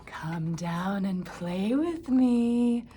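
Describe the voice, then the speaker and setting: A woman doing a slow, coaxing sly wolf. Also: mid-range voice, expressive, mellow, a little hiss or hum. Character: sly hungry male wolf, fake friendly.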